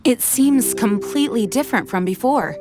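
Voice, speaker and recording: An actress playing a dutiful, polite young knight commander with a measured, formal delivery in an anime video game. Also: female voice, a little high, lively intonation, natural voice. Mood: earnest. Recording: music behind the voice.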